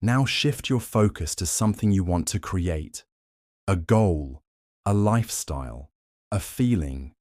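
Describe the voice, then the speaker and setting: A man leading a guided sleep meditation in a soothing, gently instructive manner with short measured phrases. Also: low voice, animated, no room sound, studio quality.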